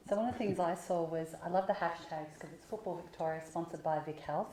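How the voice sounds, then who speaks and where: A woman co-hosts a radio chat with a mellow, fairly low voice. She asks chatty, enthusiastic questions, with a roomy echo and a faint hum of noise behind her. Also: brisk. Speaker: co-host. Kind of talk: radio talk show chat.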